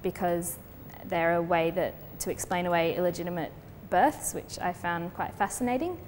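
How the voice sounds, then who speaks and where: A young woman talks through her art in a measured, reflective way, pausing on the odd "um". Her voice sits somewhat low. The room rings with a long reverb and a faint hum runs underneath. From Colombia. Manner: thoughtful, steady, with frequent fillers. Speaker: artist. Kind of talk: explaining her artwork in an interview. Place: gallery space.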